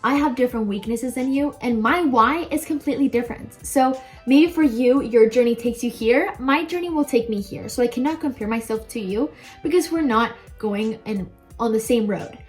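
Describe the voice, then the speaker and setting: A woman's voice: a little high, normal speed, soft background music. A young woman giving casual advice to viewers as a language-learning video host, chatty, informal and emphatic. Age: young adult.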